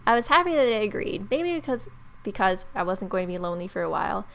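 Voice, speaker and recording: Female let's player, twenties: medium-pitched, casual narration of game text, sarcastic commentary. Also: sing-song, fast talker, fairly bright tone. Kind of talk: reading visual novel text aloud with wry asides. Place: bedroom.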